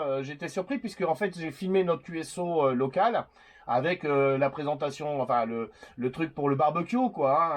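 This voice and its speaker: A man with a slightly high voice talks casually over a radio set as an amateur operator. He rambles and hesitates, feeling his way through his sentences in an informal exchange. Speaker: CB radio operator making videos.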